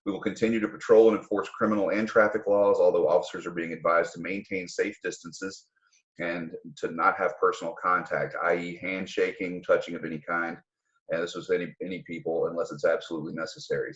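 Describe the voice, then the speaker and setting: Man, low-pitched voice, formal civic announcement, measured delivery, careful with details, dull lo-fi audio. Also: level tone. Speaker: mayor giving a public address. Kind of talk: formal public announcement read from prepared notes.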